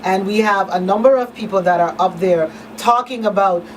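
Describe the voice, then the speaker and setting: A woman's voice: medium pitch, moderate pace. Woman hosting, slightly off mic. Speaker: talk show host. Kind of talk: informal talk show conversation. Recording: slightly distant mic, faint noise.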